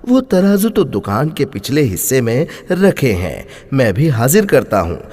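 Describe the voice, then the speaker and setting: A man voicing a devout, humble baker with a reverent, gentle manner in a narrated moral story. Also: medium pitch, big pitch swings, unhurried. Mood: polite. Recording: long hall-like reverb.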